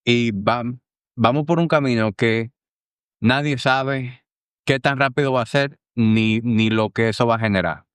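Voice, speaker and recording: A man with a Dominican accent hosts a podcast in a loose, chatty way, full of local slang. His voice is clear and smooth. The sound is dry and close to the mic. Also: mid-range voice, a little slow, no room sound. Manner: relaxed, slangy, conversational. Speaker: podcast host. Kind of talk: casual interview chat.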